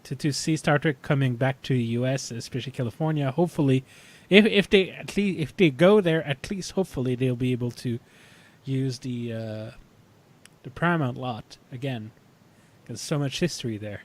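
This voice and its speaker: A man in a casual fan chat, speaking haltingly and often repeating words as he goes. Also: mid-range voice. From Canada. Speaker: podcast panelist.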